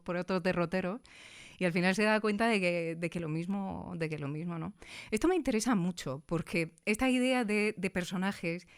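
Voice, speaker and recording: Woman hosting an interview. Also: lowish voice. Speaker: interviewer and host. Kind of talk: thoughtful, conversational interview. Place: recording studio.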